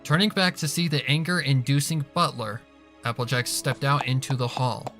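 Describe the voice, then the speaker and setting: A man's voice: medium pitch, steady tone, normal speed, a bit bright, soft background music. A man reading a story aloud as a scripted narration. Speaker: fan fiction reader.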